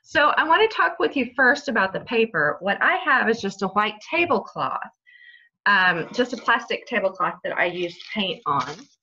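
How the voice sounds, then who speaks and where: Bright-voiced woman explaining a craft. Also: mid-range voice, big pitch swings, normal speed, dull audio. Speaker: library story time and craft host. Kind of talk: instructional craft talk to camera. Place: small bright room at home, indoors.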